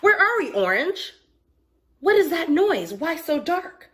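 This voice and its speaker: A woman's voice: very high-pitched, very animated, slow pace, a bit bright, pitched above the performer's own voice, heavily acted. A woman voicing curious, mischievous young children in a storybook, eager and playful. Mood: puzzled, curious.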